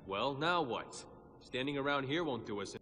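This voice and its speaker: An actor playing a skeptical soldier in a video game, blunt, colloquial and sardonic. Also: male voice, medium pitch, lively intonation, normal speed, background noise, lightly acted. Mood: impatient. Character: brash, skeptical male soldier, gruffly practical. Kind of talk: video game cutscene acting.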